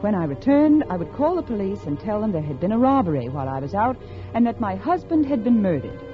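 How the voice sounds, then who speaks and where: A woman playing a scheming, calculating unfaithful wife, acting in a melodramatic 1950s radio suspense style with dramatic pauses. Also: medium pitch, big pitch swings, natural voice. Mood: cold, confiding. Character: scheming, calculating unfaithful wife plotting her husband's murder. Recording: dull vintage radio recording with music.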